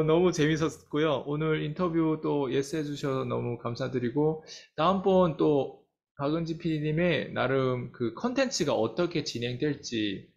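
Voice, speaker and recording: A man's voice: medium pitch, muffled recording. Man hosting an interview with winding questions. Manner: hesitant, long winding questions, frequent "mm" backchannels. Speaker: interviewer, coaching company head.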